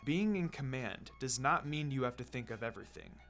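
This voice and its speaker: A narrator voicing a calm, lazy male ninja teacher who instructs in a measured, low-key way. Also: soft background music. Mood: calm, instructive.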